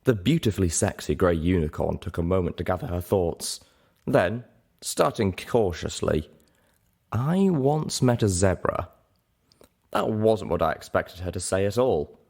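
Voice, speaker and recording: A man's voice: deep voice, lively intonation, normal speed, vocal fry, darkish tone, slightly rough. A man giving a dramatic scripted narration of a story as an audiobook reader.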